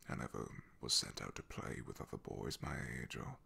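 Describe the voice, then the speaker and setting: A man playing a loyal, shy, soft-spoken knight in an ASMR fantasy roleplay, in a hushed, breathy, gravelly voice with a hesitant, halting manner. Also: rumbling low, very animated, full character voice. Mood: awkward, hesitant.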